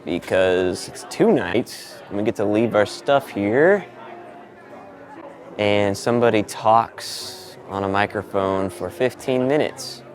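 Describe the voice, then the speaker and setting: Laid-back man with a lowish, unhurried voice. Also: expressive, soft background music. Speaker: sound engineer vlogging his gig setup. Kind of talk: casual vlog chat with mic checks.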